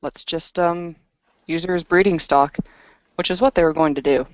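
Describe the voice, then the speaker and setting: A woman playing a battle-worn werewolf woman who speaks in a terse, grave, halting storytelling manner in a tabletop roleplay. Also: on the low side, steady tone, dry recording, dull audio, natural voice. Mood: blunt.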